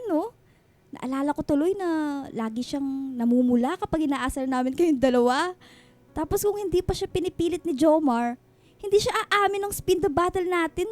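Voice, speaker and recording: A woman's voice: sits high, darkish tone, no echo. A Filipino actress playing a nosy, matchmaking friend in her thirties in a radio drama, gushing and bantering in slangy exclamations. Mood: gushing, nostalgic. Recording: music bed under the dialogue.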